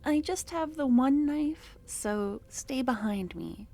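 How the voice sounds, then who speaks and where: A woman voicing an obsessive, possessive female cultist lover in an ASMR roleplay, talking softly and slipping into whispers, tender yet menacing. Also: high voice, expressive, takes it slowly, gravelly, exaggerated voice. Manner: soft-spoken, drifting into whispers.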